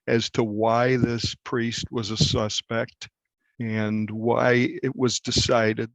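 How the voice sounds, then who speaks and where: A man being interviewed as a reporter, speaking in a measured, halting way and repeating phrases for emphasis. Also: medium pitch, flat delivery, dry recording, rough recording.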